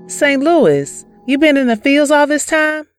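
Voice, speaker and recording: A woman acting a devoted, trusting, pious young farm wife in an audio drama, speaking gently and earnestly. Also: high voice, expressive, unhurried, dry recording, studio quality, faint music behind. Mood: questioning.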